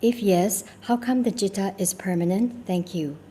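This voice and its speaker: A woman moderating a question session, reading submitted questions aloud with small halting stumbles. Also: medium pitch, dark tone. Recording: faint background noise.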